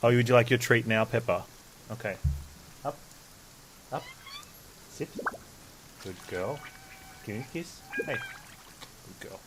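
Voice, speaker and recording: A man's voice: medium pitch, unhurried, pauses often, very noisy. A man casually chatting and thinking aloud as a game streamer, with game music behind him. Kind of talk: casual live gameplay commentary.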